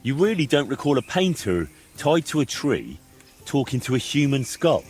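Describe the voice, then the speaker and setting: An actor playing a calm young man in a medieval game, questioning people in a measured, dryly mocking, insistent way. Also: medium pitch, soft background music, close to an everyday voice. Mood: probing. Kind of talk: video game dialogue acting.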